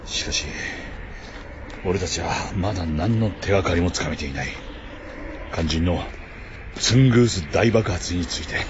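A man's voice: low voice, moderate pace, pauses often, muffled recording, noisy background, natural voice. A man acting a grim, resolute ex-soldier who leads an expedition in a radio drama, speaking tersely with brooding intensity.

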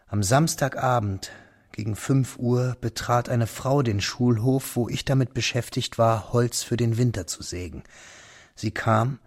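An actor playing a wistful, restrained young man who narrates a radio drama in measured, hushed tones. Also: mid-range voice, no room sound. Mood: sober. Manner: measured, hushed, drops to near whispers.

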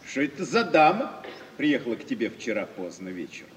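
An actor playing an idle, cynical, scheming gentleman in a wheedling, drawling 1950s Russian radio theatre manner. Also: medium pitch, big pitch swings, brisk, close to an everyday voice. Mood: nosy, idle. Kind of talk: mid-century Russian radio theatre acting. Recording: distant, noisy old radio play, hall reverb.

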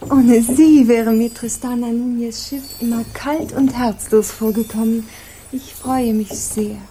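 Radio play casting: actress as flattering noblewoman, mellow high voice, elaborate ceremonious politeness. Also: a little slow, faint music behind, close to an everyday voice. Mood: effusive. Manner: ornate, ceremonious, effusively polite. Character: courtly, flattering princess visiting a pirate ship. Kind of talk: German radio drama acting.

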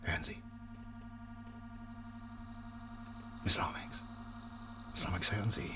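An actor playing a practical, protective doctor in a German radio play, speaking in a matter-of-fact but urgent manner. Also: male voice, a little fast, background noise, close to an everyday voice. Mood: hushed, wary. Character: practical male doctor, level-headed, protective, wary.

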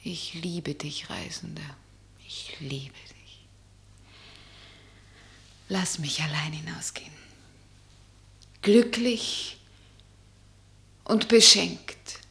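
An actress playing a tender, resigned young woman in love in a radio play, whispering haltingly and breathily. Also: low voice, expressive, gravelly, fairly bright tone, full character voice. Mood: tender, fading. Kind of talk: German radio play acting.

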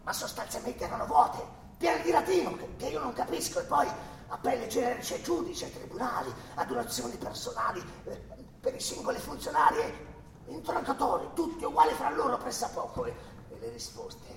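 A man playing a nervous, obsequious long-time defendant in a radio drama, stammering and repeating himself as he swings from furtive murmurs to shouts. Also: sing-song, brisk, full character voice. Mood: rambling, brooding. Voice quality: shrill when agitated, dropping to a creaky murmur. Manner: pleading, stammering, repeating words, swinging between whispers and shouts. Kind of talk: theatrical radio drama acting. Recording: echoey stage, distant mic, background noise.

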